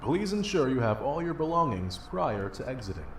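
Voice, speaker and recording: A formal, courteous male transit announcer for a video game. The delivery has a lively lilt. It comes over a distant, echoing public address speaker with faint ambient noise. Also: mid-range voice, moderate pace. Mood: polite, formal.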